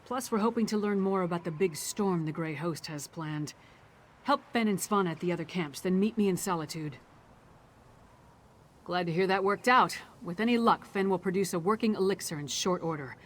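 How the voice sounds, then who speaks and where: An actress playing a brisk, pragmatic female adventurer ally in a fantasy video game, speaking in a businesslike, matter-of-fact way. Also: fairly low pitch, close to an everyday voice. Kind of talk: scripted video game dialogue. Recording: faint noisy game ambience behind voice.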